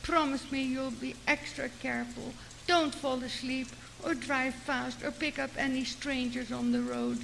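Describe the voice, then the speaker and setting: An anxious, fussing mother in an old-time radio play, acted by a woman, pleading and full of caution. Her voice is high and creaky. It rings in a long reverb. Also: fairly even intonation, unhurried, few pauses, a bit bright, full character voice. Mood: worried pleading. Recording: reverberant, faintly noisy radio play recording.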